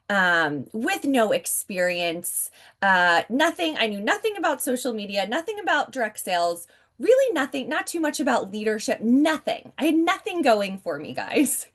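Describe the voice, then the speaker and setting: A woman in her forties presents a business opportunity from home over a video call. She is upbeat and chatty, and she keeps turning to her audience to address them directly. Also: mid-range voice. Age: 40s. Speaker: network marketing presenter. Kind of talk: sales pitch on a video call.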